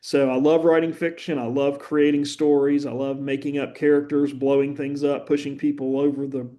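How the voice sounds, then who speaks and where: Man, middle-aged professor, from West Virginia, mellow tone, earnest chatty lecture, "uh" pauses, slight stammer. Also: medium pitch, flat delivery. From West Virginia, USA. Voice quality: occasional stammer. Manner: conversational and earnest, with frequent "uh" pauses. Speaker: university professor. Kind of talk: recorded introductory lecture.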